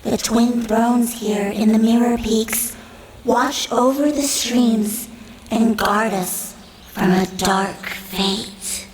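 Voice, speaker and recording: A woman playing a serene, grave elven guardian in a fantasy audio drama, speaking in a measured, solemn way. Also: on the high side, fairly even intonation. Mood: solemn, calm. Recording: roomy echo, audible background noise.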